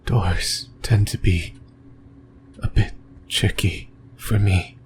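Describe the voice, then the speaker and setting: A lonely, fixated male sleep paralysis demon , acted by a man, whispers in a low, breathy voice. He speaks very slowly and haltingly, intimate and rambling, as in an ASMR horror roleplay. Noticeable noise sits behind the voice. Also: fairly even intonation. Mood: anxious rambling.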